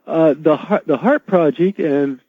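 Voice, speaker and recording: A man's voice: fairly high pitch, unhurried, no echo. A man phoning a radio show with a question, hesitant and earnest, on a thin telephone line. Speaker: radio phone-in caller. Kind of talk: asking a question on air. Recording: thin, narrow phone line.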